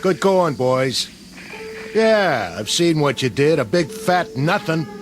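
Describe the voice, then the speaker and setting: A gruff sarcastic army sergeant. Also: male voice, fairly high pitch, lively intonation, takes it slowly. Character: tough weary male army sergeant. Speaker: actor in a war drama. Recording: old TV soundtrack with music.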